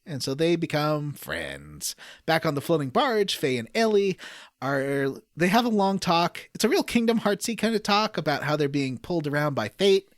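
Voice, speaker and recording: An animated podcast host retells a story in a loose, joking way. The pitch moves around a lot at a middle height, and quotes get put-on voices. The sound is dry and close. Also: moderate pace, dry recording. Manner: puts on voices for quoted lines, laughs through readings. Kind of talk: casual, jokey recap of a video game story, reading out dialogue.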